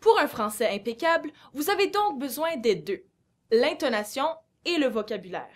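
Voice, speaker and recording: A young Franco-Manitoban woman delivering scripted lines from a film in a bright, declamatory way. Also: high-pitched, sing-song, normal speed. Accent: Franco-Manitoban French. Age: young adult.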